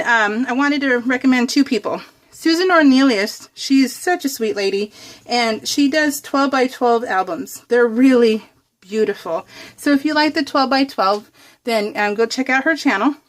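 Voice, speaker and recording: An American woman chatting casually to viewers while crafting, in a bubbly, giggly, rambling, gushing way. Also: fairly high pitch. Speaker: craft vlogger hosting a scrapbook process video.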